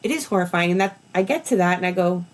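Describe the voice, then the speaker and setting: A woman's voice: fairly low pitch, lively intonation, fast talker. A woman hosting a podcast interview, blunt and indignant, often restarting her words. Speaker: podcast host.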